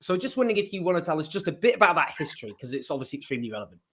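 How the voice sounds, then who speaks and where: A man's voice: a little high, very animated, racing, a bit bright. A man hosting an interview, introducing his guest eagerly with emphatic rises and some hesitations. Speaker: host.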